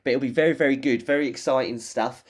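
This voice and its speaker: A man's voice: mid-range voice, fast talker. A British man co-hosting a casual podcast, chatting with enthusiasm and telling stories. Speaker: podcast co-host. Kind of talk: casual chat.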